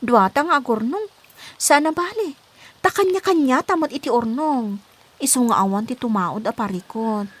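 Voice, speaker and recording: A woman acting as a young working woman in a comedy drama, with a very high voice. She is practical and firm, speaking matter-of-factly. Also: animated, moderate pace, breathy edge.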